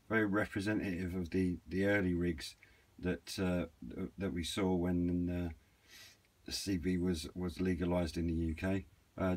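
A British man with a low voice talks through gear for an online video. He is informal and matter-of-fact, feeling his way through an unscripted walkthrough. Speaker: YouTube presenter of radio equipment videos. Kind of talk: casual unscripted product walkthrough.

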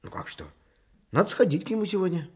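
A jovial, persuasive husband in a Soviet radio play. His fairly high voice moves up and down a lot, informal, slightly hesitant and coaxing. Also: big pitch swings, quick pace, warm tone. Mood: eager, coaxing.

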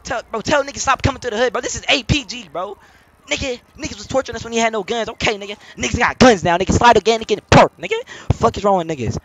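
A young man live-streaming a role-play game, talking in excited, boastful, repetitive street slang with lots of swearing. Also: very high-pitched, racing.

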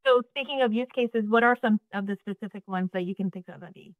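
A woman's voice: mid-range voice, fast, no echo, narrow, tinny audio. A woman in her thirties hosting a video call interview, reacting eagerly and asking direct questions. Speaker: host, moderator. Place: indoors at home, virtual background. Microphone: webcam or laptop mic on a video call.

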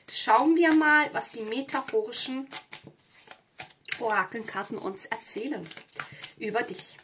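A woman's voice: a little high, noisy background. A woman from southern Europe talking casually to viewers as a tarot reader, often checking in with small tag words as she goes. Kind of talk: casual spoken card reading to viewers.

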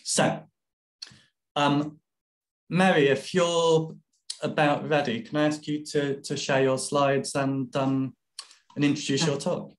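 A man in his forties informally chairing an online seminar over a video call, with hesitant pauses. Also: on the high side, level tone, echoey room, poor audio. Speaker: seminar host.

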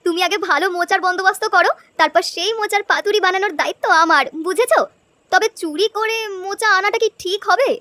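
A woman voicing an eager, obliging young village wife in a fairy tale cartoon, brisk and confident. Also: extremely high pitch, bright, forceful tone, dry recording.

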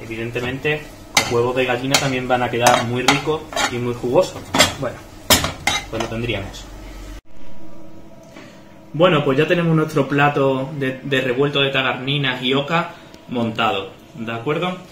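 A man's voice: mid-range voice, moderate pace. A man, explaining a recipe step by step as a chef in a small tiled restaurant kitchen, often checking in with his viewers. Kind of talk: cooking demonstration. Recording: echoey kitchen with frying noise. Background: sizzling pan and kitchen ambience.

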